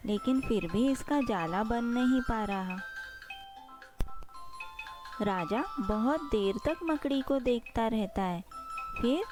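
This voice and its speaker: A woman's voice: on the high side, slow pace, long pauses, music in the background. A woman narrating a scripted children's story as the storyteller.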